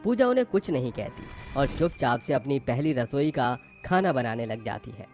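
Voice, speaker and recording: A man's voice: medium pitch, very animated, normal speed, darkish tone, faint music behind. A man narrating scripted moral tales for a cartoon as the storyteller.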